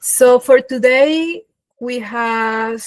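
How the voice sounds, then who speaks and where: A woman's voice: fairly high pitch, drawn-out words. A woman hosting an online webinar, introducing a guest speaker by reading her bio, with small slips and restarts. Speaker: webinar host. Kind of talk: formal introduction. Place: online video call.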